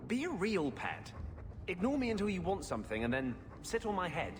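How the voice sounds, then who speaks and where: An actor playing a grumbling, put-upon man fussing at a pet in a video game, whiny and halting. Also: high voice, sing-song. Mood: exasperated. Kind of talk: video game dialogue acting. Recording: long hall reverb, music behind.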